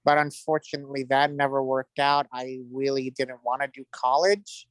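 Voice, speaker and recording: A man's voice: medium pitch, fairly even intonation, moderate pace, no echo. A man from the telling personal stories casually and openly as an interview guest. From Middle East (Iraq).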